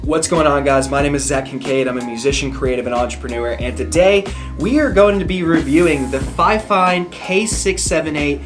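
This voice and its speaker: A man's voice: a little high, moderate pace. A young man, a musician, casually reviewing a microphone in an upbeat, chatty way and explaining the tech terms plainly. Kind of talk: casual product review vlog. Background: background music.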